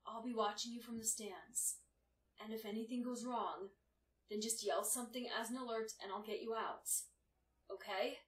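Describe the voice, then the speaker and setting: A woman's voice: on the high side, steady tone, moderate pace, lightly acted. A woman voicing a mildly stern, reassuring female unicorn mentor of magic, firm and confident, in an audio fan-fiction reading. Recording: roomy, distant mic with faint noise.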